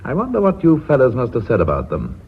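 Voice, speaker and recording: Knighted British company director, radio drama: commanding, formal, lively intonation, on a dull old recording. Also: male voice, mid-range voice, vocal fry, slight background noise. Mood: urbane, wry. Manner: measured, authoritative, formal.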